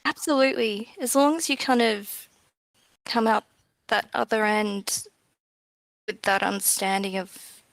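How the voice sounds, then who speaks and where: A woman's voice: mid-range voice, steady tone, measured pace, long pauses, a bit bright, dry recording, low-quality recording. A woman giving casual, informal interview answers as a guest game developer, in a self-effacing way.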